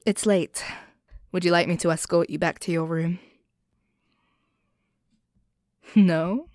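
A woman's voice: fairly low pitch, no room sound, lightly acted. Woman acting a cocky thief, close dry sound. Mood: apologetic, softened. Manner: expressive, sighing, sardonic. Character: cocky young female commoner thief, defiant, resentful. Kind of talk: audio roleplay acting, one-sided dialogue addressed to the listener.

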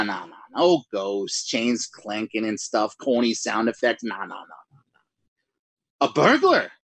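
A man's voice: fairly high pitch, fairly bright tone, breathy edge, no echo, full character voice. A man playing a jumpy writer alone at night, rambling and mocking himself in 1940s radio acting style. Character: jittery lone male writer talking to himself.

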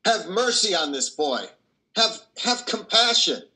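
A man's voice: sits high, sing-song, slow pace, sharp tone, heavily acted, poor audio. An older man playing a compassionate, anguished priest, earnest and pleading, in a stage play reading. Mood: desperate, pleading. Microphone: video call.

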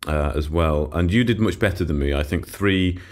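A man hosting an investment podcast, chatty and laughing easily, his voice deepened by a developing cold. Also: normal speed. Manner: chatty, laughs often, loose with fillers. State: slightly unwell, deep voice. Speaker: podcast host. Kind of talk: casual investment podcast chat.

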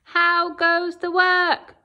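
A woman voicing a lazy old male farmer who slowly calls out demands from his bed. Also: monotone, sharp tone, heavily acted. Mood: demanding. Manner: slow, booming, demanding.

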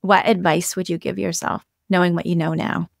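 A woman's voice: lowish voice, little pitch movement, brisk, gravelly, no room sound, studio quality. A woman in her forties hosting a conversational interview over a video call from a home office. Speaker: podcast host.

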